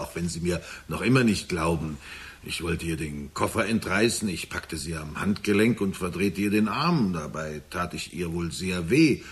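An actor playing a troubled middle-aged father under suspicion in a radio drama, defensive and halting as he justifies himself. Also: deep voice, natural voice. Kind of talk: German radio drama acting.

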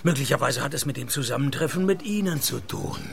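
Laconic private eye in a radio play, crackly fry in the voice, deadpan n terse. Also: male voice, medium pitch, quick pace. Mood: dry, matter-of-fact. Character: laconic male private detective narrating his case. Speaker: lead actor and narrator. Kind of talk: Swiss radio play detective narration and dialogue.

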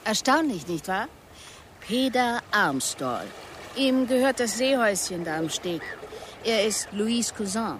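A woman's voice: mid-range voice, expressive, measured pace, noisy background, natural voice. A woman acting in a German radio drama as a composed police investigator, formal and controlled as she questions. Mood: matter-of-fact. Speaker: actor playing a police investigator.

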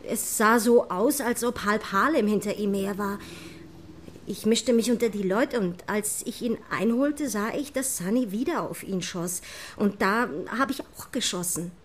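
A woman's voice: mid-range voice, a little slow, slight background noise, lightly acted. An actress playing a frightened teenage girl in a crime radio drama, confessing in a hesitant, anxious manner. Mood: confessing, subdued.

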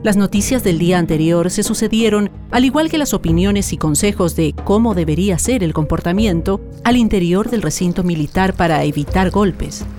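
A woman's voice: fairly low pitch, mellow. Solemn woman narrator over music. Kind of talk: solemn scripted radio drama narration.

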